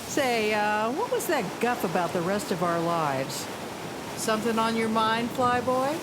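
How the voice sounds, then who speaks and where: A woman's voice: a little high, very animated, a little slow. An actress playing a plucky, wry young woman pilot in a 1940s wartime audio drama, playful and teasing. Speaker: actor. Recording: noticeable noise behind the voice.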